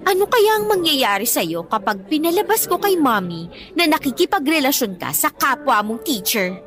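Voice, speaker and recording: A spoiled teenage schoolgirl with a Filipino accent in a radio drama. Her voice is very high and swings up and down with bratty, taunting insolence. Music plays underneath. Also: sing-song, normal speed, a bit bright. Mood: smug, threatening. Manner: bratty, taunting, mixes English into Tagalog. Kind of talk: Tagalog radio drama acting.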